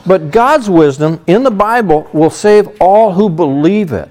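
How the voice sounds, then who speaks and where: A man's voice: sits high, animated, a little slow, darkish tone. A middle-aged man teaching a sermon through a Bible passage in a conversational way, leaning on "you know" as he explains. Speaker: pastor.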